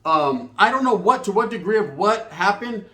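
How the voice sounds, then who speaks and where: A man's voice: sits high, moderate pace, echoey room. An American man telling a story in a casual, slangy, comedic way, acting out both sides of conversations. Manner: animated raconteur, acts out both sides of conversations.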